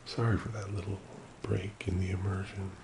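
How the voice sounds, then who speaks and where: A man speaking very softly as an ASMR host, slow and soothing, often slipping into a whisper in a creaky murmur. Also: sits low, level tone, background noise. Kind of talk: soft-spoken ASMR personal attention, slow guided relaxation.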